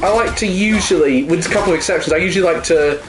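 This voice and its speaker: A man's voice: high voice, fast, background noise. Rambling man in his thirties, roomy echo. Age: 30s. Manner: rambling, swears freely, tells jokes. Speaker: co-host gaming. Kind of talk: casual chat over gameplay.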